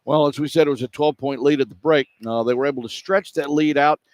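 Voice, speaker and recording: A man's voice: fairly high pitch, steady tone, fast talker, no echo. A man giving a radio sports recap as a play-by-play commentator.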